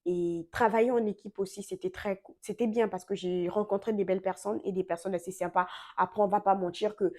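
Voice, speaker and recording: Young woman vlogging, french not her first language, warm mellow tone, lots of euh and little restarts. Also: mid-range voice, fairly even intonation, fairly quick, few pauses. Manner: chatty, full of fillers and self-corrections. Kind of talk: casual storytelling to camera.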